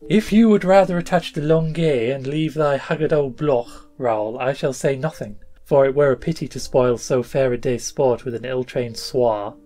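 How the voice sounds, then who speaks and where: A man's voice: mid-range voice, normal speed, hardly any pauses, dark tone, natural voice. A man voicing a gracious, spirited young French noblewoman in a storybook, with courtly, archaic speech sprinkled with French. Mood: poised, commanding.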